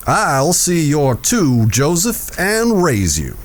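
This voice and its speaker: An actor playing a condescending gentleman gambler of the Old West, formal and measured, in a Western audio drama. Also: fairly high pitch, huge pitch swings, slight background noise, heavily acted. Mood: genial, formal.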